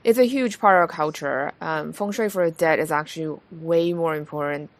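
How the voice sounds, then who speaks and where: A woman's voice: low-pitched, normal speed. A woman from Taiwan hosting a podcast, chatting casually with many fillers and restarts. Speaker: podcast host. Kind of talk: casual conversation.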